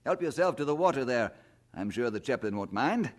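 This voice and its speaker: A man's voice: mid-range voice, sing-song, a little fast. A man acting in an audio drama as an inquisitive, self-assured colonial newspaperman with a wry, genial, probing manner.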